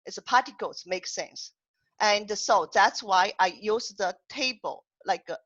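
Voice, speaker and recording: A woman's voice: medium pitch, unhurried, a bit bright. A woman hosts a casual online poetry and translation chat over a video call. She talks hesitantly, stopping and restarting her sentences, and her voice comes through a dull, lo-fi connection. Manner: hesitant, many restarts and fillers.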